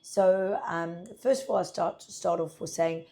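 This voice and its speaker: A husky, slightly croaky woman talks through a farm update in a chatty, upbeat way, with plenty of ums. Her voice sits a little low and sounds dark and mellow. She speaks from a pack house office. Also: steady tone, unhurried. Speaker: farm labour manager. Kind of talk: casual informative vlog.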